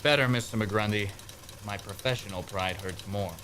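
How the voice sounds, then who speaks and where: A man's voice: on the low side, normal speed, a bit bright, a little hiss or hum, natural voice. A young actor playing an earnest, nervous young man in a community radio play. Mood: relieved. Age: young adult. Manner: anxious, then frantic.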